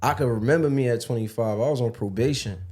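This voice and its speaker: A man's voice: mid-range voice, fast talker, dark tone. A man hosting a podcast interview, talking in reflective, encouraging street slang. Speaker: podcast host.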